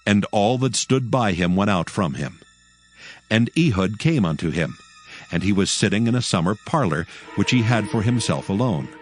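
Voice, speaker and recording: Male Bible narrator, lowish voice, solemn and measured, light musical underscore. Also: animated, faint music behind. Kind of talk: dramatized scripture narration.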